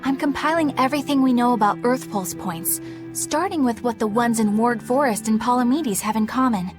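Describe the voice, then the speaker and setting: Woman acting an earnest young game character, exact, formal, prickly, high-pitched, music behind. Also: brisk, lightly acted. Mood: methodical.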